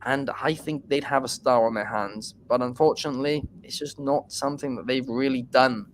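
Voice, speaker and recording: A man's voice: mid-range voice, normal speed. A man from Wales, hosting a casual podcast and giving his opinions after reading out viewer questions. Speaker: podcast host.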